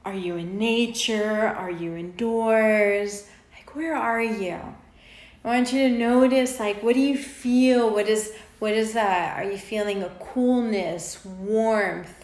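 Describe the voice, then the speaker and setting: A woman leading an unscripted guided meditation in an informal, conversational way, with halting pauses. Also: medium pitch, fairly even intonation, darkish tone. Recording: roomy echo on the voice.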